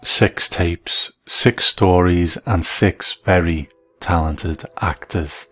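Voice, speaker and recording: A man's voice: sits low, steady tone, slow pace, a bit bright. A man hosting and reviewing in a scripted documentary narration style. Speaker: host and reviewer.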